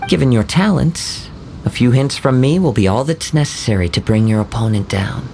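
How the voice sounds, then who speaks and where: A man voicing a calm young wandering samurai who speaks in a formal, measured, courteous way. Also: medium pitch, a little hiss or hum, close to an everyday voice. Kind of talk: video game voice acting.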